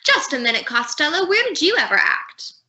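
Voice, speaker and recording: A woman's voice: sits high, very animated, a little fast, dull audio, crowd noise. A woman playing a haughty, curt and sarcastic glamorous film actress in a 1940s-style radio comedy. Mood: dismissive, sarcastic.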